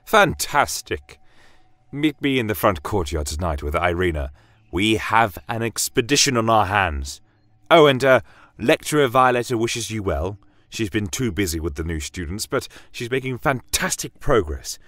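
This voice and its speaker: A man voicing a genial, brisk male grand master of a magic college in a fantasy audio story, formal yet chatty. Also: on the low side, expressive, fairly bright tone, no room sound, voice pushed up.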